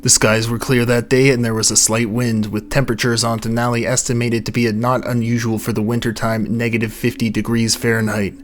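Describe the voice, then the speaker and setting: A young man with a low, soft voice, reading a scripted account of a mystery late at night at home. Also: flat delivery, fairly quick, few pauses. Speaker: narrator of a mystery channel. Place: home recording space.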